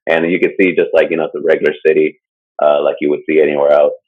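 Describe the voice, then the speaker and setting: A man's voice: very deep voice, flat delivery, rapid-fire, breathy edge. A man co-hosting a casual podcast, talking through a topic in a loose, rambling way full of fillers. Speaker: podcast co-host presenting a topic. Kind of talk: casual chat. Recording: dull lo-fi sound.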